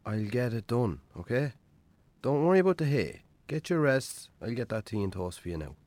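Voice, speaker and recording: An actor playing a weary, put-upon Irish bachelor farmer in a naturalistic radio drama, with a dry, sardonic manner. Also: male voice, mid-range voice, very animated, normal speed, a little mellow, no room sound, close to an everyday voice. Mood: weary, defensive. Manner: wry, sardonic, grudging.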